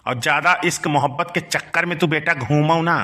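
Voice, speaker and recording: A man's voice: fairly high pitch, a little fast, pushed and bright. A man playing a middle-aged village uncle in a comic cartoon, grumbling and rustic, with an Awadhi accent. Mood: scolding, exasperated. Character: scolding village uncle, easily blackmailed, henpecked and wheedling.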